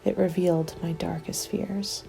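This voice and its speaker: A woman reading scripted ASMR roleplay narration in a breathy, hushed and grave, deliberate way. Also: lowish voice, fairly even intonation, soft background music.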